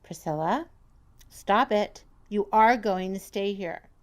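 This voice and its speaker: A woman's voice: mid-range voice, takes it slowly, close to an everyday voice. A woman playing a stern, worried mother of a teenager in an audio horror drama.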